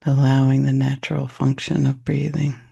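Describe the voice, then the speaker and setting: A man leading a guided meditation in a soft, unhurried, reflective way, with long pauses and a gravelly, husky voice. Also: medium pitch, completely flat delivery, lo-fi sound.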